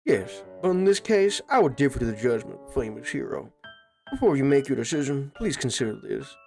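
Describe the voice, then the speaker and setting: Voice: medium pitch, very animated, a little fast, vocal fry, mellow, soft background music. A performer voicing an earnest, dignified, compassionate village leader in a cartoon comic dub, polite and formal. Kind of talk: comic book fan dub cartoon acting.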